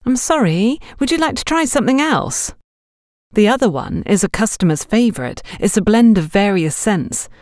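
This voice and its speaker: A woman's voice: mid-range voice, big pitch swings, moderate pace, darkish tone, no room sound, studio quality, pitched above the performer's own voice, close to an everyday voice. A woman voicing a polite, earnest young female sales trainee who is eager to help. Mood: anxious, polite.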